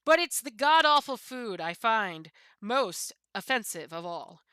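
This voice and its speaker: A woman's voice: on the high side, very animated, a little slow, bright, forceful tone, clean tone, no room sound, heavily acted. A woman reading a book aloud as a haughty, misanthropic pampered cat, pompous and disdainful, with theatrical emphasis. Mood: haughty disgust.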